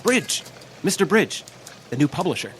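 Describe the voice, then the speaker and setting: An actor playing a gossipy, needling, worried newspaper colleague, wry and insistent, in an old-time radio drama. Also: male voice, a little high, big pitch swings, normal speed, a bit bright. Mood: eager. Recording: live stage with faint noise.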